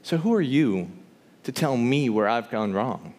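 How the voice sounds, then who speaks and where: A man's voice: medium pitch, lively intonation, a little slow, a little mellow. A man performing theatrical spoken word poetry to a live audience, with an impassioned, emphatic, rhythmic rhyming delivery. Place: large hall, live before an audience. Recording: reverberant hall, live stage performance.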